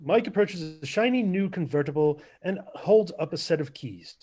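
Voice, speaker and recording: A man narrating stage directions in a scripted table read, matter-of-fact and a little halting. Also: on the high side, lively intonation, warm tone, husky.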